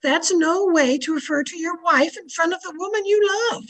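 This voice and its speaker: A meddling little old lady in an old-time radio comedy. Her very high voice scolds with an air of innocence, a sweet schemer through and through. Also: normal speed, a bit bright. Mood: mock reproach.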